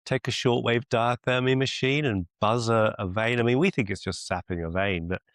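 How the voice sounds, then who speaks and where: A man coaching one-to-one on a video call as a mentor, deliberate and encouraging, often repeating phrases for emphasis. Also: medium pitch, steady tone, a bit bright, no echo, studio quality. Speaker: business mentor and clinician.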